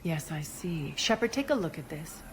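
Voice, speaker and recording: Ruthless female alien boss: low, curt, commanding game cutscene voice with noisy ambience. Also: expressive, normal speed. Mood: brisk, commanding. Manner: curt, imperious, cool. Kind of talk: video game cutscene acting.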